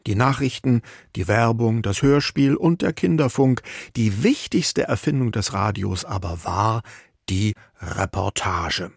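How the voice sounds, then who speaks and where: A man hosting a radio feature, narrating a script in a calm, explanatory, conversational way into a studio microphone. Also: lowish voice, unhurried, gravelly, breathy edge, no echo. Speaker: radio host.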